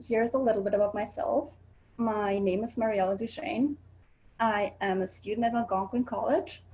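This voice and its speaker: A woman's voice: medium pitch, darkish tone, a little hiss or hum, rough recording. A young woman from Switzerland with an accent, earnestly giving a student webinar presentation over a video call, with frequent ums. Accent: Swiss.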